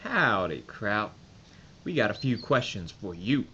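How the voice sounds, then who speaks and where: An actor playing a brisk, authoritative American army major with an American accent in an audio drama. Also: male voice, lowish voice, very animated, sharp tone, heavily acted. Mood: brisk, commanding. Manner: authoritative, terse. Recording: dull lo-fi recording with faint noise.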